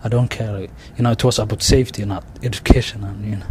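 A young man with a Somali accent, telling his story in an interview, halting and full of restarts and fillers. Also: lowish voice, fairly even intonation. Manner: halting, many restarts and "you know" fillers. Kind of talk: oral history interview. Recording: faint background noise.

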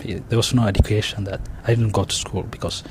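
A young man with a Somali accent, telling his story in an interview, halting and full of restarts and fillers. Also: lowish voice, steady tone. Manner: halting, many restarts and "you know" fillers. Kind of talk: oral history interview. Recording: faint background noise.

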